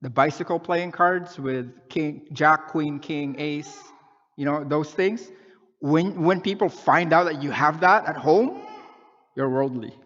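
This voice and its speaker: A man's voice: on the high side, takes it slowly, a bit bright, long reverb tail, muffled recording. A man from the Philippines preaching a sermon in a conversational way, often checking in with the congregation by asking "okay?" or "right?". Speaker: pastor.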